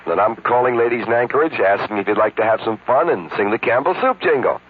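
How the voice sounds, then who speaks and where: Fast-talking American promotional phone caller, man. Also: mid-range voice, lively intonation. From New York City. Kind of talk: chatty promotional phone call. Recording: noisy tinny phone-call radio spot.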